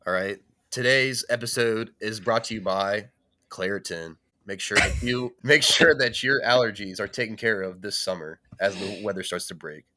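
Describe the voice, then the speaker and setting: A man's voice: on the low side, normal speed, sharp tone. A man co-hosting a casual podcast chat, stuffy and a little nasal from allergies. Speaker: podcast co-host.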